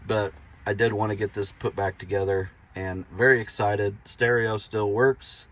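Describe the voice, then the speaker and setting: A man's voice: lowish voice, fairly even intonation, moderate pace, a bit bright. A man hosting a do-it-yourself vlog, explaining his work casually in an informal, folksy, self-deprecating way. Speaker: DIY vlog host. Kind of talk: casual hands-on how-to narration.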